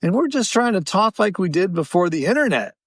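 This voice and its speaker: A man's voice: high voice, big pitch swings, fairly quick, a bit bright, no room sound, clean studio sound. A man co-hosting a podcast in casual, jokey conversation. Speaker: podcast co-host. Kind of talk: casual chat.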